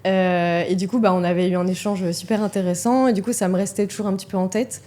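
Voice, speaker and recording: A woman's voice: medium pitch, fairly even intonation, fast, warm tone. A young adult woman hosting a podcast interview, speaking casually and hesitantly with many fillers. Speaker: podcast host.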